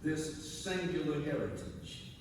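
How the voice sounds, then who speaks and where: A man giving a solemn sacred reading at a church service, speaking deliberately with dramatic, oratorical phrasing and emphatic repetition. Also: on the high side, very animated, creaky. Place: large reverberant church indoors. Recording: very echoey church hall, far mic, noisy.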